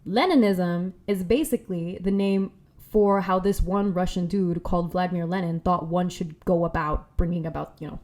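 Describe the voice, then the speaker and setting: A young woman talking through a casual commentary video essay in a chatty, slangy way with animated asides. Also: lowish voice, moderate pace.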